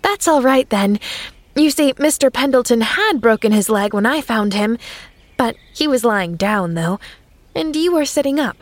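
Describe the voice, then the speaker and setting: A woman reading aloud and voicing an eager, kind, chatty young girl with a high, earnest, breathless way of speaking. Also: lively intonation, moderate pace, no room sound, close to an everyday voice.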